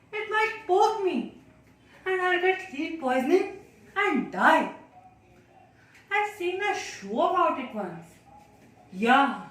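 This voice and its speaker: Woman playing an anxious high-voiced child, echoey room. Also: a bit bright, exaggerated voice. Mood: fussy, fretful. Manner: dramatic, talking to oneself, deliberate pauses. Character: anxious, chatty, naive child, Billy, writing to God. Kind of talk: theatrical solo monologue. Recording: very echoey room, distant mic, faint noise.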